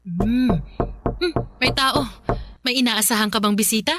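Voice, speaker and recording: A woman's voice: a little high, measured pace, very noisy, natural voice. Actress as homely, kindly Filipina mother. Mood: homely, curious. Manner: homely, concerned, chatty. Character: kindly middle-aged mother welcoming her family. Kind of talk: Filipino radio drama acting.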